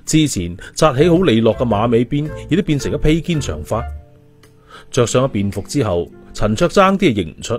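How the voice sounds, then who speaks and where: A man telling a story as an expressive audiobook narrator. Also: medium pitch, normal speed. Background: music.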